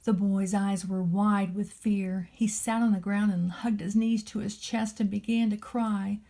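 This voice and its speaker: A woman reads a children's story in a mellow, relaxed voice. Her telling is gentle and deliberate, like a nanny reading aloud to a little one. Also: medium pitch.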